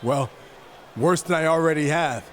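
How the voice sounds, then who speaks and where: A man's voice: on the high side, moderate pace, background noise, lightly acted. A man playing a resentful, sneering villain wrestler in a video game, delivering a taunting promo about his lost title. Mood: menacing.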